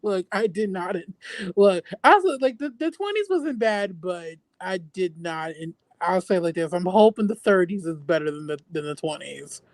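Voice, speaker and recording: Guy about 30, animated chatter, keeps saying look, dry close sound. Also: high voice, moderate pace, no echo. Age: 30s. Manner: animated, starts sentences with "look". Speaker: livestream guest. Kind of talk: casual chat.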